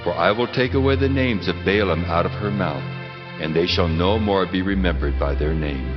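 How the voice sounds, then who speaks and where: An actor playing a solemn, commanding God in a dramatized scripture reading, with measured, declamatory delivery. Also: male voice, low voice. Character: the Lord God, solemn, commanding male deity. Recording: muffled audio, loud music behind.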